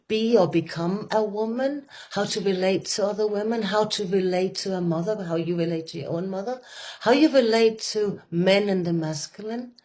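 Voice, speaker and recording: A woman's voice: on the low side, a little mellow. Danish-accented woman speaking earnestly. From Denmark. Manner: earnest, passionate, many fillers and restarts. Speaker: women's work facilitator. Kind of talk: reflective conversation.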